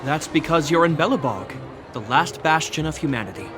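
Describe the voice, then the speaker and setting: Solemn, dutiful male captain, played by an actor: measured, authoritative game delivery over orchestral music. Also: on the high side, lively intonation, a crowd in the background, close to an everyday voice. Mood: grave, storytelling. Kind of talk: video game voice acting. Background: orchestral violin music.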